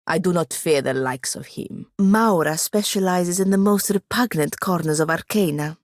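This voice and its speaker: An actress playing a sardonic, ambitious young female wizard apprentice in a fantasy video game, with a dry, haughty, precise and sharp-tongued delivery. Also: lowish voice, normal speed, no room sound, close to an everyday voice. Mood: disdainful. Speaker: video game companion character. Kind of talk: scripted fantasy game dialogue.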